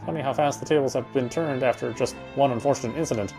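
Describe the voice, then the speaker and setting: A man reading a game's first-person story text aloud in a dramatic, brooding, expressive way. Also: mid-range voice, fast talker. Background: game music.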